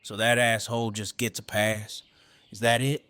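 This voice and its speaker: Casting: male actor as stubborn, bitter survivor for audio drama; low-pitched, forceful, folksy and clipped, very dry sound. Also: flat delivery, normal speed, clear, resonant tone, no room sound.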